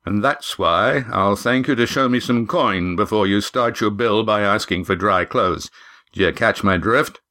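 A man voicing a short, burly innkeeper in a storybook, with a deep, growling bass and a folksy, wry, quietly menacing manner. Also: a little slow. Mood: wry, blunt. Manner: folksy, wry, plain-spoken warnings.

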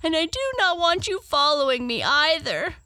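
Woman doing a whiny little girl from a kids story, way high, petulant and teary, sharp. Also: very animated, moderate pace, dry recording, heavily acted. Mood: angry, upset. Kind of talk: children's storybook character voice.

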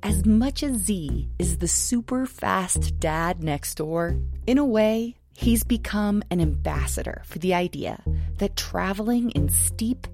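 A woman producer giving measured, scripted podcast narration. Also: mid-range voice, expressive, over music. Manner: measured, descriptive.

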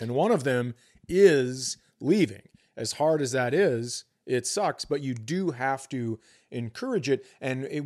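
A man's voice: mid-range voice, a little mellow. A middle-aged man co-hosting a comedy podcast, telling stories in a casual, joking, sometimes profane way. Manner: profane, storytelling.

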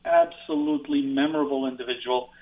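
A man's voice: mid-range voice, huge pitch swings, brisk. A man calling in to a radio show, speaking earnestly and reflectively. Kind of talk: phone-in tribute. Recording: phone line.

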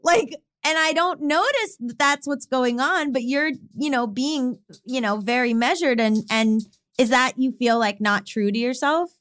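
A woman co-hosting a podcast in casual chat, with a fast, intense delivery that sounds like she is arguing even when she is just talking. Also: high voice, smooth. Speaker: podcast co-host.

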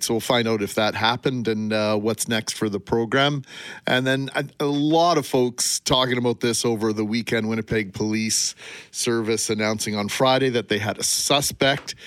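A man's voice: medium pitch, fairly bright tone, dry recording. A radio co-host who chats on and on from the studio, often restarting his sentences. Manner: chatty, rambling, with many restarts. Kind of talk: morning radio talk.

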